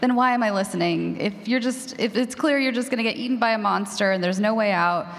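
A woman's voice: medium pitch, fairly quick. A woman talking casually as a panelist and podcaster. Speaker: panelist, horror fiction podcaster. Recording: echoey hall.

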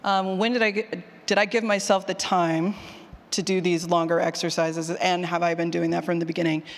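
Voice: mid-range voice, little pitch movement, normal speed. Informal speaker in a reverberant hall. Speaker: presenter, fiction podcast writer. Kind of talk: conference session talk with audience questions. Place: conference session room.

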